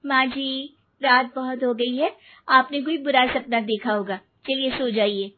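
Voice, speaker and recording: Woman acting a high-voiced, sensible young daughter-in-law. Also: fairly even intonation, unhurried. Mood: soothing. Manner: concerned, reassuring. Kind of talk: animated moral story acting. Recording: narrow phone-like sound, faint noise.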